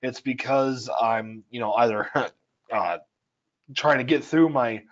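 A man leads a screen-share software tutorial in a relaxed, explanatory way, with plenty of "uh"s and "okay?"s along the way. His voice is dry and a touch scratchy, and it sits at an ordinary middle pitch. The sound is a little dull, like webinar audio. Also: slow pace. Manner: explanatory, conversational, with frequent fillers. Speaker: software trainer. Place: home or office at altitude.

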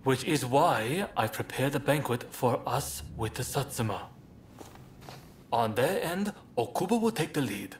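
An actor playing a composed, diplomatic young samurai leader in a video game cutscene, speaking in a measured, polite, thoughtful way. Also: male voice, mid-range voice, animated, a little hiss or hum, natural voice. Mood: calm, persuasive.

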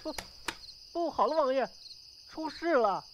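A woman's voice: high-pitched, lively intonation, unhurried, background noise. A woman playing an anxious, deferential young servant in a Chinese costume audio drama. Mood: alarmed. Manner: deferential, flustered.

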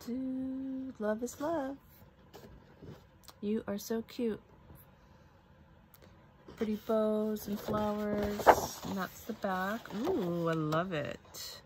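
A woman's voice: medium pitch, steady tone, extremely slow, pauses often, background noise. A woman giving casual unboxing commentary at a craft table, chatty and musing aloud to herself. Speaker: crafter showing a product haul.

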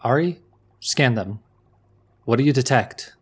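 A man's voice: mid-range voice, expressive, unhurried, breathy edge. A man playing a cautious, decisive spaceship crew leader in a sci-fi audio drama, giving terse commands. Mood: cautious, commanding.

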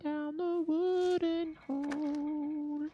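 A man's voice: very high-pitched, level tone, very slow, dark tone, lo-fi sound, a little hiss or hum. A man in his thirties giving rambling, self-mocking commentary while streaming a horror game from his home setup. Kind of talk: casual gameplay commentary.

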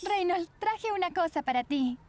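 A woman voicing a sweet, earnest teenage girl in a cartoon dub, expressive. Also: extremely high pitch, normal speed. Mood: earnest, regretful. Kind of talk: animated cartoon dub acting. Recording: music behind.

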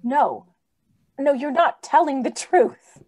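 A woman's voice: high voice, slow, darkish tone, no echo. A woman acting an idealistic, easily indignant lady visitor in an old-time radio drama, earnest and theatrical. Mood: shocked, indignant. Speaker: actor. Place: indoors on a video call.

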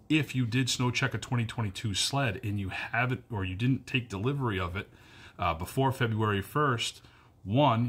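A fairly low-voiced man in his thirties speaks straight into a phone camera held close. He talks earnestly and repeats key points for emphasis, with plenty of fillers along the way. The sound is close and informal, recorded indoors in a wood-paneled room. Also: fairly bright tone. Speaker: powersports dealer staff. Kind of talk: direct-to-camera business update. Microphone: phone camera at arm's length.

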